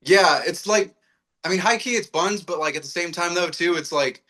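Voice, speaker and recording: Bright-voiced slangy young man chatting. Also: high voice, normal speed. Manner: slangy, rambling, self-deprecating. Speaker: podcast guest, radio on-air personality. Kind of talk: casual chat.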